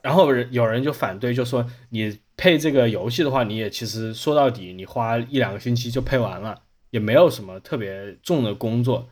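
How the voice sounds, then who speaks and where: A man's voice: medium pitch, a little fast, few pauses, a little mellow. A man speaking as a podcast host in casual conversation. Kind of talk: casual chat.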